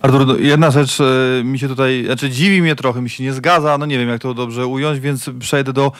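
A man's voice: mid-range voice, steady tone, a little fast, no room sound, clean studio sound. A male journalist asking a long question, hesitant and searching for words. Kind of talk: interview question.

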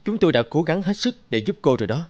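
An actor playing a stern, formal guardian of heaven's gate in an animated drama. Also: male voice, fairly high pitch, fast talker, lo-fi sound. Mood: solemn.

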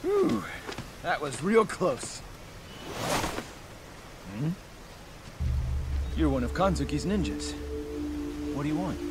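A man's voice: fairly high pitch, sing-song, slow, long pauses, noisy background, heavily acted. A man playing a breezy, confident martial-arts fighter in a video game. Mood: easygoing, confident. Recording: loud music over dialogue.

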